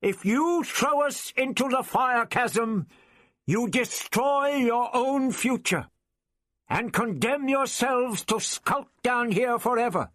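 A man voicing a determined adult male traveller who speaks urgently, often shouting. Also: a little high, very animated, slow, hardly any pauses, husky, no room sound, clean studio sound, pitched above the performer's own voice, heavily acted. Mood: pleading.

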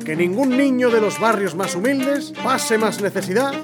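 An actor playing a condescending official of 1918 in a Spanish radio drama. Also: male voice, high voice, lively intonation, moderate pace, over music. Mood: solemn. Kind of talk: period radio drama acting.

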